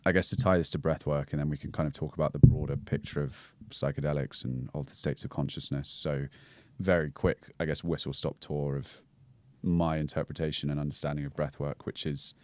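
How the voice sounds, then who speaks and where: A man in his thirties with an extremely deep voice, speaking in a calm, measured, considered way. He explains things at an easy pace on a close studio mic. The sound is dry and close. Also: no echo. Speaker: breathwork guide, podcast guest. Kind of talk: casual explanatory interview talk. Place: small studio lounge set. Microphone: boom-arm studio mic.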